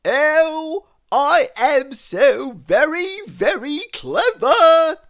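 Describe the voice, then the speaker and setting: A woman voicing a boastful, self-satisfied man from a children's storybook, bragging in a drawn-out, emphatic way. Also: high voice, animated, a bit bright, pitched above the performer's own voice, heavily acted. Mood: smug, boastful.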